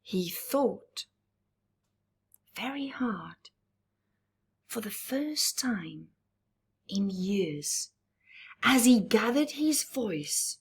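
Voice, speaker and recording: A woman's voice: medium pitch, very animated. A woman reading a rhyming picture book aloud at story time, stopping to chat with young listeners and dropping into whispers. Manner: slow rhyming read-aloud with chatty asides to young listeners; drops to breathy whispers. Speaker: storybook reader.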